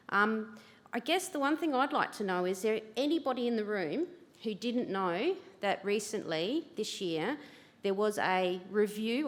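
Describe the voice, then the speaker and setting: Woman, Australian accent, conference presenter, loud, friendly, lectern mic, echoing convention hall. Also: mid-range voice. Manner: loud, chatty, self-deprecating presenter. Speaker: government education manager. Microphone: lectern microphone. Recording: reverberant hall, livestream.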